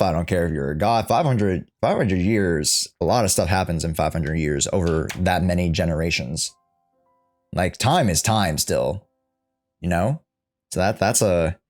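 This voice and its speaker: A man with a low voice talks through his reactions casually and bluntly. He swears freely and repeats phrases while thinking out loud. Game music sits faintly behind him. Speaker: streamer reacting to a video game story.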